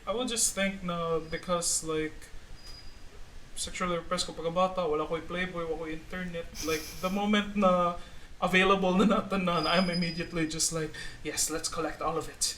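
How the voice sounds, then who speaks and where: A man's voice: high voice, fairly even intonation, brisk, a bit bright, a little hiss or hum. A man with a Filipino accent hosting a casual podcast, bantering playfully and switching between languages. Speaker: podcast host.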